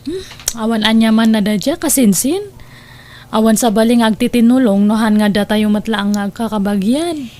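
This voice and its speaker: A woman's voice: on the high side, moderate pace, dark tone, natural voice. A woman with an Ilocano Filipino accent playing a friendly, supportive cousin in an audio drama, chatty and sympathetic.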